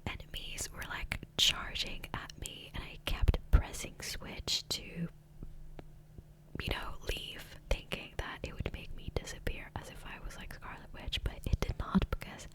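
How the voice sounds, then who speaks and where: Softly whispering ASMR woman. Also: measured pace. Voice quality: breathy unvoiced whisper. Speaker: ASMR gaming video creator. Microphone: close USB condenser microphone.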